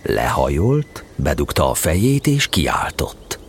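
A man narrating a children's storybook tale in an audio drama, with expressive scripted delivery. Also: extremely low pitch, breathy edge, full character voice. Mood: storytelling.

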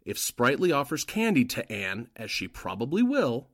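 A man's voice: medium pitch, wildly swooping pitch, moderate pace, fairly bright tone, no echo, studio quality, heavily acted. A man playing a suspicious, cautious Nazi henchman in a 1940s-style radio drama. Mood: wary.

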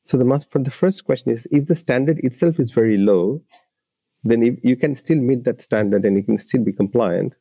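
A man's voice: mid-range voice, fairly quick, dry recording, dull audio. A man from Bangladesh, speaking as a labour rights advocate and guest, giving earnest, explanatory interview answers with frequent restarts.

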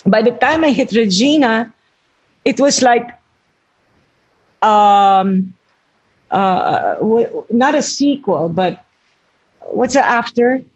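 A woman's voice: on the high side, animated, slow, long pauses, a little mellow, breathy edge, lo-fi sound. An older woman, an actress talking earnestly about her craft in an interview and often correcting herself mid-thought. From Philippines. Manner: earnest, searching for words, self-correcting. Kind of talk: reflective interview talk.

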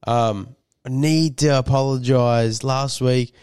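A man's voice: medium pitch, steady tone, takes it slowly, a little mellow, smooth, no echo, clean studio sound. A man co-hosting a podcast, a bit nasal from a cold, rambling with lots of fillers and swearing. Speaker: podcast co-host. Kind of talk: casual chat.

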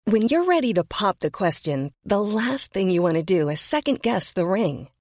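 A woman's voice: mid-range voice, big pitch swings, normal speed, darkish tone, no room sound, clean studio sound, soft background music. A woman reading scripted advertising copy as a voice-over. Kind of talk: scripted ad read.

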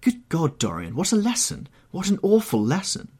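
A man's voice: mid-range voice, big pitch swings, normal speed, a little mellow, husky, voice pushed up. A man voicing a horrified, anguished painter who pleads and moralises in an agitated, exclamatory way, in an audiobook story. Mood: appalled, anguished.

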